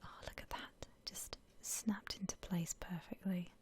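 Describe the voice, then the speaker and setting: A woman whispering softly in a gentle, unhurried, musing way, as in ASMR commentary on a game she is playing. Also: lowish voice, flat delivery. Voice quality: soft breathy whisper. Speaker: gaming ASMR host.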